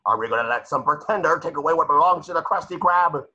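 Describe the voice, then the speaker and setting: Raspy, forceful cartoon boss, muffled recording. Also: male voice, on the high side, quick pace, exaggerated voice. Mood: rallying. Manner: goading, intense. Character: gruff, combative cartoon boss rallying his worker. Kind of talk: cartoon script voice acting.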